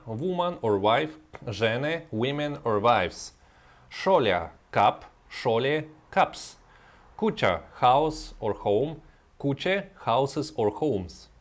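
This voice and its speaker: A man teaching a language lesson, reading word pairs and explaining exceptions in non-native English with frequent restarts. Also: medium pitch. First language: not Serbian. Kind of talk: vocabulary lesson with examples.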